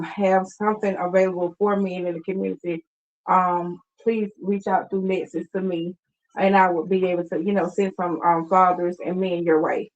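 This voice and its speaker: A woman's voice: on the low side, a little mellow, dull audio. A woman guest on a radio talk show describing her outreach work, hesitant with many ums, plain and sincere. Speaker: guest, community outreach worker. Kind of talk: interview talk.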